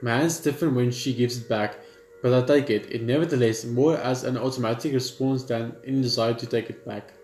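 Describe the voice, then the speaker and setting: A man's voice: mid-range voice, steady tone, brisk, darkish tone. A man hosting a let's play, reading game text aloud with casual asides and stumbling over the odd word. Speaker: gaming video host. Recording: echoey room, game music behind.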